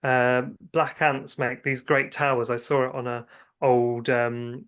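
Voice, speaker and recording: A man's voice: medium pitch, little pitch movement, a little slow, fairly bright tone, clear, resonant tone. Man chatting, rambling through anecdotes. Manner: hesitant starts, rambling anecdotes, self-quoting. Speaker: guest writer and filmmaker. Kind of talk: casual interview chat about own creative work.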